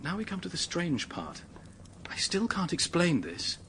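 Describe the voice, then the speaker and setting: An actor playing an earnest, polite young British secretary who is anxious and hesitant, in a radio drama. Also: male voice, on the high side, wildly swooping pitch, measured pace, bright, forceful tone, a little hiss or hum, exaggerated voice. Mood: puzzled, narrating. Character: earnest, flustered young English male secretary, helpful and anxious.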